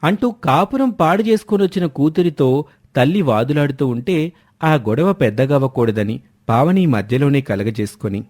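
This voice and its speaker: A man's voice: mid-range voice, animated, moderate pace, a little mellow. A man reading a scripted moral story as the narrator.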